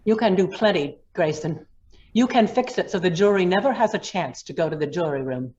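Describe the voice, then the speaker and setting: A woman's voice: on the low side, expressive, fairly quick, a little mellow, highs cut off, close to an everyday voice. A woman playing a ruthless, commanding lawyer in an old-time radio drama, hard and threatening in manner. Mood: coolly menacing. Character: ruthless, commanding female lawyer blackmailing a judge.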